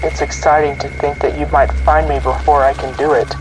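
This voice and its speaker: A man's voice: a little high, level tone, fairly quick, close to an everyday voice, rough recording. Sinister gloating male intruder, acted by a man, music behind. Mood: gloating menace. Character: menacing hidden male intruder taunting victim in recorded message. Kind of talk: horror audio drama voice message.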